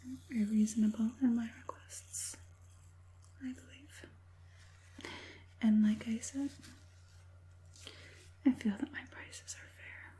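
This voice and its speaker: A woman voicing an evil witch puppet master in a whispered ASMR roleplay, coaxing and falsely caring, slow and deliberate in a breathy hush. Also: a little high, fairly even intonation, full character voice. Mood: sly, coaxing. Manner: slow, deliberate, soothingly menacing.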